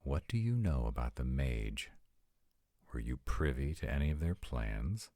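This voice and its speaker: An extremely low-voiced, urbane vampire acted by a man for a fantasy audio roleplay. He speaks formally and unhurriedly, with wide swoops of intonation and a gravelly rasp. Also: big pitch swings, warm tone, no echo, studio quality, heavily acted. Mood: stern, formal. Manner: formal, measured, articulate. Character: arrogant, urbane male vampires, courteous and formal.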